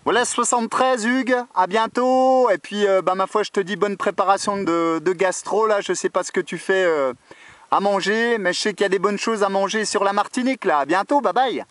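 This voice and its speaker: A man's voice: high-pitched, normal speed, a bit bright, no echo. A man working as an amateur radio operator, chatting over a radio contact and often tagging his phrases with small filler checks. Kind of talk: radio contact chat, spelling out call signs.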